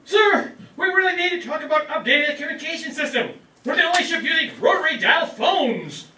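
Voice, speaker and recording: Man as a piercing, very high-pitched spaceman. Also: animated, a little fast, bright, forceful tone, full character voice. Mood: complaining. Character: complaining, excitable spaceship crew member. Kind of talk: sci-fi parody radio play acting. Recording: roomy distant mic, faint noise.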